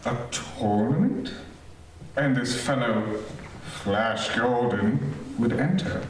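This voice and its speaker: Man as merciless emperor, slow delivery, commanding, radio drama, very echoey distant recording with faint noise. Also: mid-range voice, lightly acted. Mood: scornful. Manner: imperious, deliberate. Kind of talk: old-time radio serial acting.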